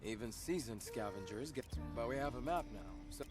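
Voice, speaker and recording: A man playing a steady, reassuring scavenger companion in a video game, earnest and matter-of-fact. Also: medium pitch, fairly quick, close to an everyday voice. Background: game music.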